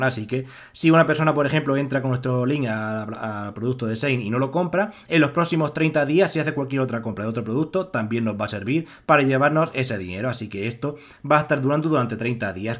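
A young man with an accent from Spain narrating a screen-recorded tutorial, rambling with many fillers and restarts. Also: mid-range voice. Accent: Spanish from Spain. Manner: rambling, heavy use of filler "pues" and restarts. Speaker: online money-making tutorial host.

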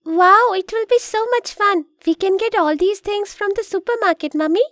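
A woman's voice: very high voice, moderate pace, a bit bright, dry recording, clean studio sound. A woman voicing an eager, curious young child in a children's cartoon, with an inquisitive manner.

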